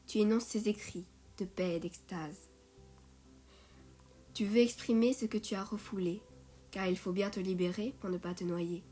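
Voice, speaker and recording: A woman reciting poetry in a measured way, phrase by phrase. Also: mid-range voice, dark tone, slightly rough. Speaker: poet reading own poem. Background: music.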